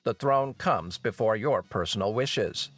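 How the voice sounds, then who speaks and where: A man's voice: lowish voice, measured pace, fairly bright tone, soft background music. A man voicing a cold, stern king and father with an imperious manner.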